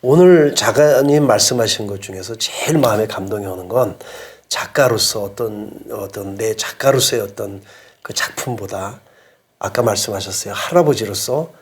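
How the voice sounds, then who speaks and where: A man's voice: fairly low pitch, moderate pace. A man hosting an interview, speaking politely and conversationally with some hesitant phrasing. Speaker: interview host.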